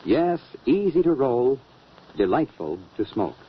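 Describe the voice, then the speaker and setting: A man announcing an old-time radio show, delivering a scripted introduction and sponsor pitch on a muffled old recording. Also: sing-song, a little slow, breathy edge.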